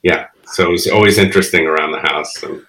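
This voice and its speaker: A man's voice: deep voice, fairly even intonation, fast talker, fairly bright tone, slight background noise, low-quality recording. A man in his fifties from the Bronx whose first language is Spanish, a guest telling reflective, rambling stories with frequent laughs. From the Bronx, New York. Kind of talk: casual interview chat.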